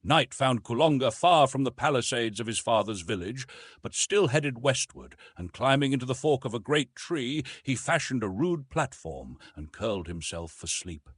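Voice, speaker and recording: Male audiobook narrator, dramatic adventure reading, animated intonation, slightly rough husky tone, dry close sound. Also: medium pitch, normal speed, no room sound.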